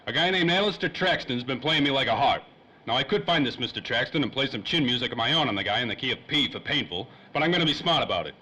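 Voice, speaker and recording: A man playing a bright-voiced hard-boiled private eye. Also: medium pitch, animated, fast, slightly rough. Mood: weary, pressing. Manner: slangy, wry tough-guy talk. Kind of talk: old-time radio drama acting. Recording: faintly noisy old-time radio recording.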